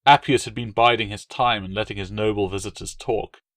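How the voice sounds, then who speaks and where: A man's voice: on the low side, steady tone, brisk, fairly bright tone, dry recording, clean studio sound. A man reading scripted audiobook narration as a storyteller. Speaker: author reading own novel as narrator.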